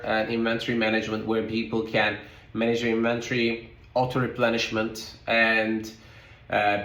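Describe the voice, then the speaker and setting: Man in an echoey room, halting answers. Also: medium pitch, fairly bright tone. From Turkey. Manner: frequent "uh" fillers, loose phrasing. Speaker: guest, logistics company founder. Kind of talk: conversational interview answers.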